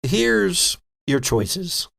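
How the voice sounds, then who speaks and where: A man's voice: sits high, very animated, very slow, creaky, bright, forceful tone. A man narrating a tabletop horror game as game master, describing scenes vividly and addressing the players directly.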